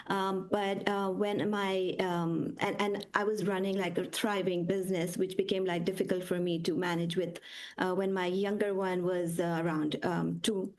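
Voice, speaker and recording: Woman guest author raised in the UAE; casual, halting delivery with frequent fillers. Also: lowish voice, level tone, darkish tone. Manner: hesitant, many ums and uhs, laughs easily. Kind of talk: casual conversation over video call.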